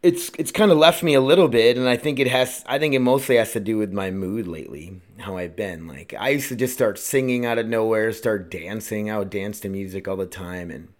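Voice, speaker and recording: A man's voice: fairly low pitch, fairly quick, hardly any pauses. A man in his late thirties hosting a casual solo podcast, rambling and restarting his sentences, swearing freely. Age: 30s. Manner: rambling, profane, self-interrupting. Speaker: podcast host.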